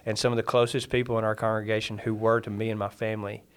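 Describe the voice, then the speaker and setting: A man's voice: lowish voice, little pitch movement, smooth. A man with a Southern American accent, a missionary pastor earnestly telling his church story in an interview. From Southern United States. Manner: earnest, quick-talking, frequent restarts.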